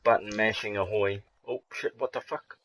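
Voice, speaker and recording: A man's voice: lowish voice, steady tone, normal speed, a bit bright. A man streaming a game live, chatting casually with viewers, swearing freely and laughing often as he rambles through jokey asides. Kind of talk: live gameplay commentary.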